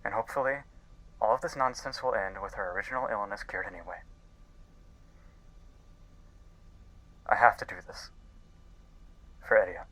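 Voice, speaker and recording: A man playing an earnest, guilt-ridden scientist in an audio drama, speaking intensely and haltingly as if recording a log. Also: on the low side, sharp tone, rough recording. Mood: guilt-ridden, determined. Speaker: voice actor. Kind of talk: dramatic audio-log monologue in a fiction podcast.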